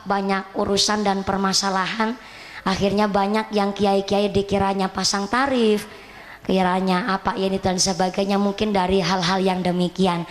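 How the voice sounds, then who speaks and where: A woman's voice: mid-range voice, in a large hall. A woman in her twenties with a Javanese accent, preaching to a village crowd in a chatty, joking stage manner. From Central Java, Indonesia. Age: 20s. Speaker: Islamic preacher. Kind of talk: lively stage sermon to a village audience. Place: outdoor stage at a Quran graduation event.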